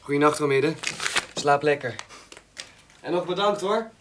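A male actor as a polite schoolboy, highish voice. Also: unhurried, natural voice. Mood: grateful. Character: polite schoolboy nephew, shy and grateful. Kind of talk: Dutch radio drama acting.

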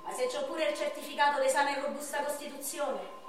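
Woman acting as skinny young man, Roman Italian accent, high voice, declamatory, self-mocking wisecracks, stage monologue, very echoey distant recording. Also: fairly quick, music in the background, natural voice. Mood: teasing, bantering. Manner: declamatory, repetitive joke-telling.

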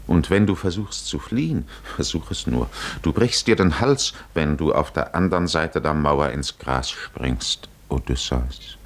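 An actor playing a brooding male storyteller in a 1950s radio play, speaking in a solemn, deliberate, literary way. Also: a bass voice, lively intonation, exaggerated voice. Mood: grave, admonishing. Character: grave male storyteller addressing Odysseus, brooding. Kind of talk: 1950s radio drama narration.

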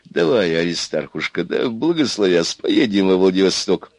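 A man voicing a naive, folksy peacemaker in a comic story, coaxing in simple drawn-out phrases. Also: low voice, lively intonation, no room sound.

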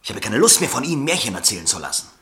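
A tense, fearful swindler. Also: male voice, lowish voice, very animated, very fast, a bit bright, husky, exaggerated voice. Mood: defensive. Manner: curt and defensive in dialogue, brooding in narration. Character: hunted male American swindler, tense and fearful. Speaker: first-person narrator. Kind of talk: radio play acting and narration.

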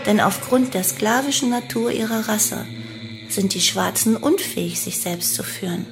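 An actress playing a devoted cult follower in a radio play, calmly reciting her guru's teachings. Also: medium pitch, moderate pace, music in the background, close to an everyday voice. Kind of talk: German radio play monologue.

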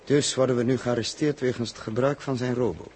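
A man playing a reasonable, dryly wry traveller who stays calm, in 1960s radio drama style. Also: medium pitch, brisk, lightly acted. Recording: noisy old radio drama tape.

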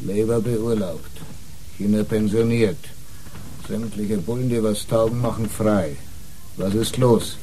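An actor playing a bitter, menacing, ageing gang boss with a blunt, contemptuous way of talking in a radio play. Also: male voice, on the low side, fairly even intonation, a little slow, dark tone, a little hiss or hum, close to an everyday voice, rough recording. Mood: bitter, cold. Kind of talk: radio drama acting.

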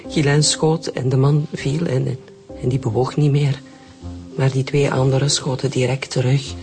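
A woman's voice: extremely low pitch, little pitch movement, normal speed, a little mellow. A woman playing a shaken, grieving new widow in a radio drama, with a Flemish accent. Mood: numb, shaken. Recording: music behind the voice.

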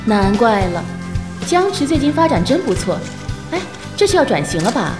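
Magazine editor, high voice, very animated, gushing, flattering, audio drama, music behind. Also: female voice, moderate pace. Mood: admiring. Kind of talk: Mandarin audio drama acting.

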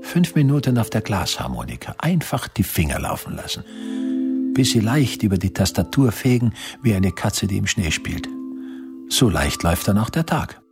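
Cocky old-time vienna doctor in a radio play, low voice, lots of swing in the pitch, wry. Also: male voice, big pitch swings, normal speed, music in the background. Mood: musing. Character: self-assured 18th-century Viennese physician, ambitious, fastidious, musical. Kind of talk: radio drama acting, interior monologue.

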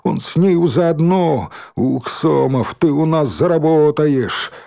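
A man voicing a loud-mouthed, spiteful schoolboy bully in an audiobook, yelling and jeering. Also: mid-range voice, flat delivery, extremely slow, vocal fry, exaggerated voice. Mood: gloating.

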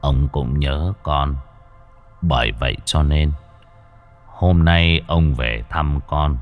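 A man voicing a kind, toothless old grandfather's ghost who speaks slowly and softly. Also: very deep voice, highs cut off, soft background music. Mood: gentle. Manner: slow, soft and drawn out. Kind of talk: audio horror storytelling.

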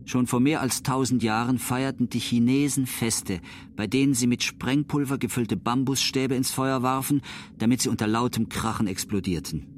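A man's voice: medium pitch, moderate pace, slight background noise. A man reading scripted documentary narration as a storyteller of history.

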